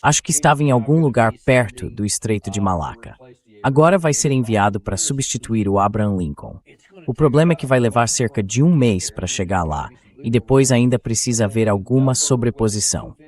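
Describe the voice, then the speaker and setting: A man's voice: medium pitch, lively intonation, a little fast, darkish tone. A man with a Brazilian accent hosting opinionated news commentary in a blunt, sarcastic, colloquial way. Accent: Brazilian Portuguese. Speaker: talk show host and political commentator.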